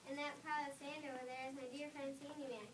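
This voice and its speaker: High-voiced exasperated princess played by a young woman. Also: level tone, fast talker, natural voice. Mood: dry. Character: exasperated bossy fairytale princess, Sleeping Beauty. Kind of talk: amateur reader's theater performance. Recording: distant echoey room recording with noise.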